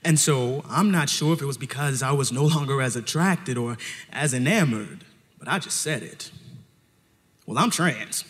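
A man's voice: medium pitch, moderate pace. A man telling a story live on stage, theatrical and dramatic, with pointed emphasis, recorded in a hall.

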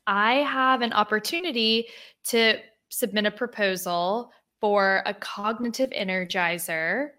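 Podcast co-host, female, mid-pitched, upbeat, laughs often. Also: measured pace, sharp tone. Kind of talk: casual chat.

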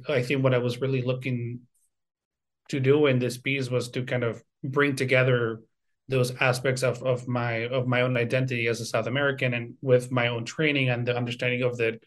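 A man in his forties from Venezuela talks in a reflective interview style. His pitch sits in the middle, and he often doubles back on small words while he gathers his thoughts. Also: a bit bright. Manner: halting, repeats words as he thinks. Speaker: composer and university music teacher, guest.

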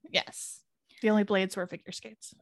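A woman's voice: mid-range voice, fairly even intonation, moderate pace, no echo. A woman co-hosting a podcast, bubbly and giggly, rambling in casual chat. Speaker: podcast co-host.

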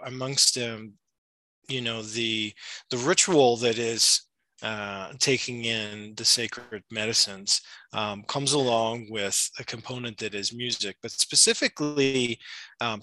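Bright-voiced man, dry close sound. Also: medium pitch, no echo, poor audio. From United States. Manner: thoughtful, many fillers and restarts. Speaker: shamanic practitioner and retreat facilitator. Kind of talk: interview answers, explanatory. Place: Peru.

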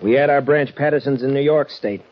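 An actor playing a sharp, brisk man from the East in an old radio drama. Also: mid-range voice, natural voice. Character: sharp Eastern man helping the hero. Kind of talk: 1940s radio acting. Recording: dull old radio recording with audible hiss.

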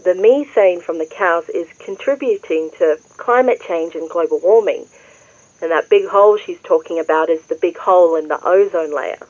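An actress playing a patient, earnest adult Australian daughter in an audio drama, explaining things clearly. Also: female voice, lowish voice, normal speed, darkish tone, no echo, lo-fi sound, close to an everyday voice. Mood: reassuring. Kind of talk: naturalistic audio drama dialogue.

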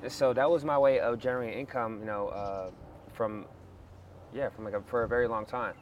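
A man's voice: mid-range voice, fairly even intonation, fairly quick. Male guest, 30s, French native speaker raised in Canada; easygoing, says "bro", faint background noise. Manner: laid-back, says "bro" a lot. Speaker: podcast guest, business owner. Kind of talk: casual interview chat.

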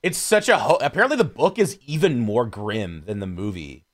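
A man chatting casually on a podcast, blunt and profane, speaking with lively emphasis. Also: mid-range voice, moderate pace. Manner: animated, emphatic.

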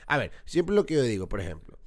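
A man's voice: mid-range voice, quick pace. A man from Ecuador, hosting a podcast in casual, argumentative chat. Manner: animated, argumentative, laughs often. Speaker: podcast host.

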